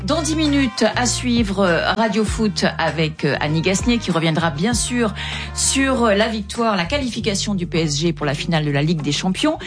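A woman's voice: medium pitch, lively intonation, normal speed, over music. Woman radio host with a hesitant delivery. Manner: hesitant, many "euh" fillers. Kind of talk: radio presenting.

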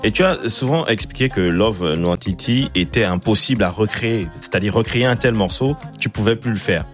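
A man's voice: fairly low pitch, expressive, fairly quick, soft background music. A man hosting an interview, asking questions with many fillers and the odd joke. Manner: hesitant, full of fillers, joking.

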